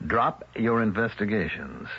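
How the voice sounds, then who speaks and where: An actor playing a smooth, threatening village leader in an old radio drama, with a husky voice and a mocking manner. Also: male voice, lowish voice, lively intonation, measured pace, full character voice. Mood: menacing. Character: cold menacing male village leader. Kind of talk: 1970s radio drama acting. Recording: dull old radio broadcast.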